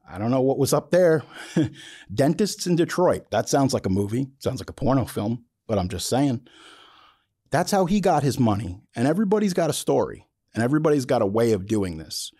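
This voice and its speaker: A man's voice: medium pitch, mellow, no room sound, studio quality. A man podcast host giving an opinionated, casual monologue in a blunt, emphatic, colloquial way.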